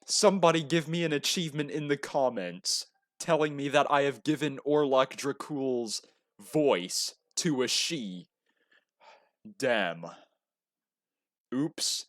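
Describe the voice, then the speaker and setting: A man's voice: medium pitch, slow pace, long pauses, no echo, studio quality. A man giving casual, exclamatory let's-play commentary on a visual novel, with some mild swearing. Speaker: gaming video host. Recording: music behind the voice. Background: guitar music.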